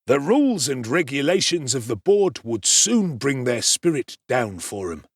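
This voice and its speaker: A man voicing a pompous, quick-tempered parish beadle who speaks in a blustering, contemptuous manner in a dramatic audiobook. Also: medium pitch, sing-song, no echo, clean studio sound, pitched above the performer's own voice.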